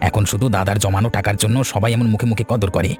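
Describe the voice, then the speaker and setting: A man plays a coaxing, slyly greedy village husband in a cartoon. His lowish voice runs very quickly through homely explanations, as if winning his wife over. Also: flat delivery, darkish tone, soft background music, natural voice. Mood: scheming. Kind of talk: cartoon dialogue.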